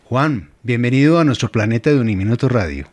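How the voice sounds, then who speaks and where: A middle-aged man hosting a radio interview, reflective and halting, with frequent fillers. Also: medium pitch, expressive. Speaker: radio host.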